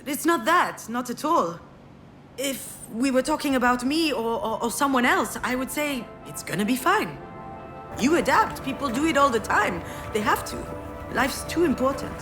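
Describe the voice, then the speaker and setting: An actress playing the troubled, wry adult daughter of an artist, speaking introspective, dramatic dialogue in a video game. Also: female voice, sits high, expressive, moderate pace. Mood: anxious, resolute. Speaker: video game protagonist and narrator. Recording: music behind the voice.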